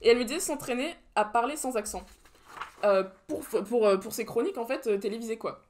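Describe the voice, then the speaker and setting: A woman's voice: on the high side, fairly quick, a little mellow, slight background noise. A young woman co-hosting a podcast, talking through prepared points in casual chat with a dry, ironic edge. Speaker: podcast co-host.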